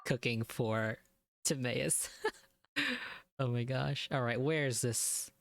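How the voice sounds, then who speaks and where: Low-voiced young woman streamer, teasing. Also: steady tone, slow pace, creaky, no echo. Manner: teasing, giggly, slangy. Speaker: gaming streamer. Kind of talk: casual game reaction commentary. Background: faint game music.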